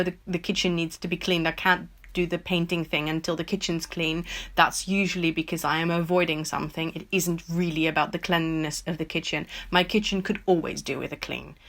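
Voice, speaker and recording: Dutch-born woman, reflective and low-pitched. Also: fairly bright tone. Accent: mixed American and British English. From Netherlands. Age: young adult. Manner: reflective, rambling, thinks aloud with many ums. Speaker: artist answering viewer questions. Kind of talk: casual solo Q&A. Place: home art studio room, indoors.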